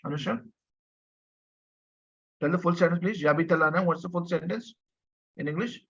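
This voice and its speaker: A male teacher with a fairly high voice leads an online French lesson. He explains things patiently, repeats himself and keeps asking the class to answer. The sound is dull and low-fidelity, like a video call. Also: normal speed, a bit bright, slightly rough. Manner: patient, repeats himself, asks students questions. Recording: dull lo-fi audio.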